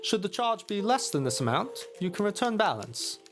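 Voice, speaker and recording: A formal Victorian solicitor, dictates a letter in a radio play. The delivery is clipped and officious, with pitch that swings up and down, over faint music. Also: male voice, sing-song, normal speed, fairly bright tone. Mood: officious, courteous. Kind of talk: dramatic audio drama letter reading.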